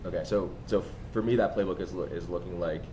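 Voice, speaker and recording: A man in his twenties hosting a podcast in a casual chat, with hesitant restarts as he asks questions. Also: fast. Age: 20s. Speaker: podcast host. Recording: steady background rumble.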